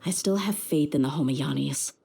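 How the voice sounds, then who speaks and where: A woman's voice: low voice, normal speed, vocal fry, warm tone, breathy edge, heavily acted. An actress playing a young female mercenary bodyguard in a game, speaking frankly and directly. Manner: frank, direct, plain-spoken. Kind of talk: game voice acting.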